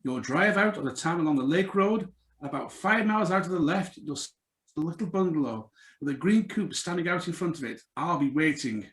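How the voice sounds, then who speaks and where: A man's voice: fairly high pitch, lively intonation, a little fast, fairly bright tone, reverberant. A man acting a cynical, menacingly polite blackmailing ex-husband in an old-style radio suspense play read over a video call. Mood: smooth menace. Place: remote live reading over video call.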